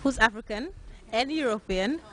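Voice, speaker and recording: A woman's voice: a little high, expressive, normal speed. A nineteen-year-old woman from Norway, a young fashion designer answering interview questions earnestly with many hesitant filler sounds. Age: late teens.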